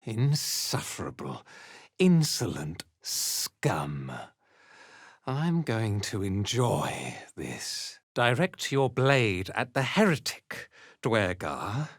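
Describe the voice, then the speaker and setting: A man's voice: on the low side, wildly swooping pitch, very slow, no room sound, studio quality, full character voice. An actor playing a haughty, cruel male zealot commander in a fantasy game, with an imperious, contemptuous manner. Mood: contemptuous, menacing. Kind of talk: dramatic video game character lines.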